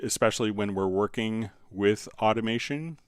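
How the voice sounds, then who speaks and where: Low, clear-voiced man teaching software. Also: moderate pace, dry recording, clean studio sound. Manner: informal, thinking aloud while demonstrating. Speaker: software instructor and livestream host. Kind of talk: live software tutorial, explaining step by step.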